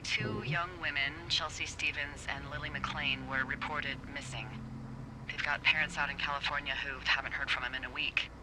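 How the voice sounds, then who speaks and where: A woman's voice: low voice, little pitch movement, normal speed. Wry woman lookout over noisy radio. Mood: serious, guarded. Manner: playful, sardonic. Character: wry, flirty, teasing female fire lookout supervisor. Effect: walkie-talkie radio. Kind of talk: naturalistic video game voice acting. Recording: radio-filtered voice with clear background noise.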